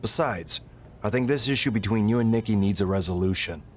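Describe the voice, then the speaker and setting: A man's voice: fairly low pitch, fairly quick, a little hiss or hum, close to an everyday voice. A man playing a wary, dryly diplomatic wrestling show boss in a video game. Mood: dry, placating. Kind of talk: scripted video game cutscene acting.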